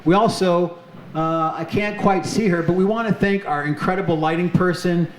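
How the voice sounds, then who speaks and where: A man's voice: sits high, fairly even intonation, normal speed. A man hosting a live show on stage, chatty and upbeat, with many fillers. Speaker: host. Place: off-center stage of an arts center, live audience. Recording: echoey room with faint noise.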